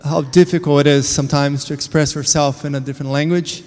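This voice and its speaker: A man shares a personal faith testimony, earnest and reflective, slowing down on the words that matter to him. His voice sits slightly high for a man. A long reverb from the church hall trails behind every phrase. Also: steady tone, darkish tone. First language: Portuguese. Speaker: church member sharing a testimony.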